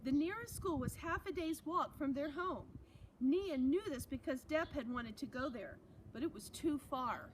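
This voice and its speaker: A woman teacher with a fairly high voice reads a story aloud to children. She is expressive and breaks into chatty, encouraging asides. There is clear noise on the recording. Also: normal speed, poor audio. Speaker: teacher reading aloud to students.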